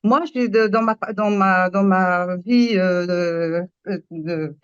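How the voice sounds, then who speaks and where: A woman who grew up in Morocco, a storyteller whose mother tongue is Moroccan Arabic, talks thoughtfully and pauses to find her words. Her voice comes through a remote link that sounds muffled and very dry, with no room echo. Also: lowish voice, smooth. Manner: earnest, searching for words, reflective. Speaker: storyteller and workshop leader. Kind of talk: panel discussion. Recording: dull dry remote audio.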